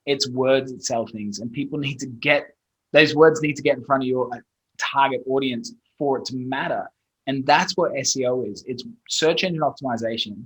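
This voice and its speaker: A man's voice: medium pitch, a bit bright, slightly rough, poor audio. A man in his thirties from Australia with an Australian accent, a guest talking bluntly and casually, with mild swearing. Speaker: guest, SEO expert. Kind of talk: casual interview chat.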